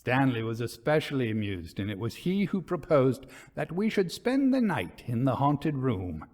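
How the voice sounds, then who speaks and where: Expressive male storyteller, very lively intonation. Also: medium pitch, moderate pace. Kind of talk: expressive scripted narration of a literary ghost story.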